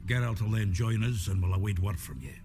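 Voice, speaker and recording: An actor playing a gruff, jovial gang leader in a video game, with a folksy, rough-edged way of talking. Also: male voice, fairly low pitch, a little fast, lightly acted. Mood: brisk, plotting. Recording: music behind the voice.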